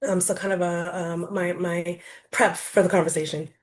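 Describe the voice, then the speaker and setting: A woman's voice: fairly low pitch, steady tone, moderate pace. Woman presenter, chatty and informal, lots of fillers, heard over a video call. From Rhode Island, US. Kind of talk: casual online presentation.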